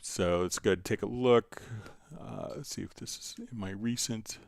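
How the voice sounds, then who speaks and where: A man with a fairly low voice hosts a software lesson, explaining each step casually as he does it. He is recorded close and dry, with very little room sound. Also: unhurried, gravelly, dry recording. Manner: talks through each step as he works. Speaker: host answering viewer questions on a software tutorial stream.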